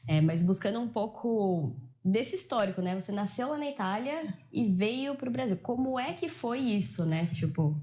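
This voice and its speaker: A woman in her thirties hosting a podcast, talking in a chatty, lively and emphatic way. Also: medium pitch, moderate pace, few pauses. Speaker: podcast host. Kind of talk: casual podcast chat.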